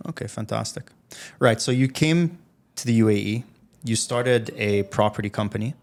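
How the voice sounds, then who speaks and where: A man's voice: on the low side, normal speed, darkish tone. A man in his thirties hosting an interview, attentive, prompting his guest and summing up his story. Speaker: podcast host. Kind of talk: casual interview.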